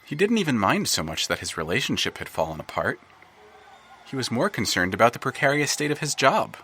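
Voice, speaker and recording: A man's voice: fairly quick, slight background noise. A man narrating an audio drama in a wry, scripted storytelling manner. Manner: dry, wry storytelling with lively swings in pitch.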